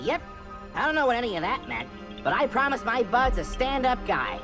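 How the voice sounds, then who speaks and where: A cheeky, good-hearted little adventurer guy from a video game. He swaggers and boasts in folksy, casual talk, with big swings in pitch. Music plays behind him. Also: sing-song, moderate pace. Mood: cocky. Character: small cheeky treasure-hunting male wanderer, boastful, good-hearted. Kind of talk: video game cutscene acting.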